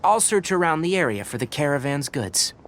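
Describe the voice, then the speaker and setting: Blunt scholarly young forest watcher. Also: male voice, on the high side, very animated, a little fast, fairly bright tone, slight background noise. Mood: dry, analytical. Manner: precise, analytical, matter-of-fact. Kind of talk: video game character dialogue.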